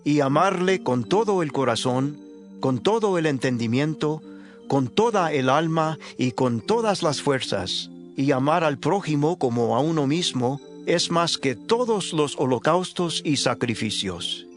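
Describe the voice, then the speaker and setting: A man playing an earnest, respectful religious scholar in a dramatized scripture audiobook, with measured delivery. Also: fairly high pitch, lively intonation, soft background music.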